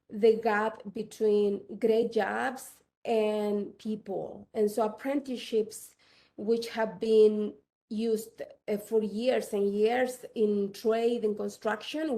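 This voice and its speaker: A woman with a Chilean Spanish accent answers a panel question in a warm, darker voice. She speaks unevenly, slowing and rushing, with frequent fillers. She sounds middle-aged. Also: medium pitch. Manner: halting, with many fillers and uneven pace. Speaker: panelist, startup founder.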